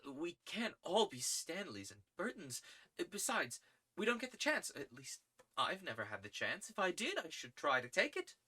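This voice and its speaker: A woman's voice: lowish voice, sing-song, moderate pace, sharp tone, breathy edge, voice pushed up, heavily acted. A reader voicing an eager, lovestruck young man who pleads and stammers. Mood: earnest. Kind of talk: audiobook character reading.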